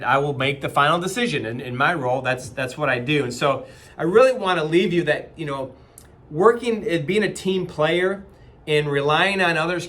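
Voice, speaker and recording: Company-leader type, male, forties, bright and slightly high voice, earnest webcam pep talk with lots of fillers. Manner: earnest, conversational, frequent fillers and restarts. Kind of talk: casual motivational talk to camera. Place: small office indoors. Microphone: webcam at desk distance.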